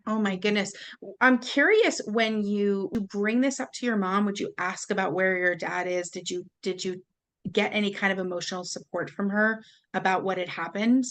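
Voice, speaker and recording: A woman's voice: mid-range voice, moderate pace, a bit bright. A woman hosting a podcast interview, affirming her guest with empathy and asking follow-up questions. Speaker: podcast host.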